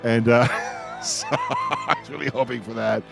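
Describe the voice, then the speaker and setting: Effusive, halting man hosting a fan roundtable. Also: mid-range voice, huge pitch swings, vocal fry, music in the background, low-quality recording. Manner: effusive, halting with repeated words. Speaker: radio roundtable host. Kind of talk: enthusiastic fan discussion.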